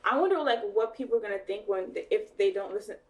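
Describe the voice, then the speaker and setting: A woman's voice: mid-range voice, lively intonation, fast talker. A woman with a mid-range voice steers a friendly chat with questions, her talk full of "like" fillers. She sounds a little distant from the mic. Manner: asks questions, filler-heavy. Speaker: podcast co-host. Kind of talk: casual chat among friends.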